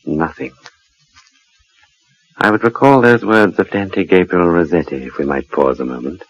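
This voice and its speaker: An actor playing a grave, brooding storyteller in a 1940s radio suspense drama, speaking portentously with dramatic pauses. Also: male voice, sits low, muffled recording. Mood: ominous. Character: solemn, brooding male storyteller of doom. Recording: old 1940s radio recording.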